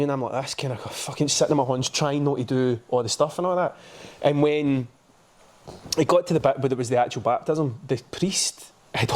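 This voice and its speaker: A Scottish man co-hosting a podcast, telling stories in casual, sweary chat and laughing often. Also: on the high side. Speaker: podcast co-host.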